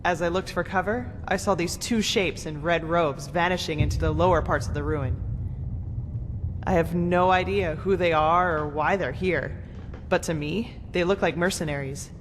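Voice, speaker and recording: A woman's voice: on the low side, moderate pace, noisy background, close to an everyday voice. A woman voicing a blunt, sarcastic, foul-mouthed female mage researcher in a video game. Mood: urgent, frank. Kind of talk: video game character dialogue. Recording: reverberant hall, loud music behind.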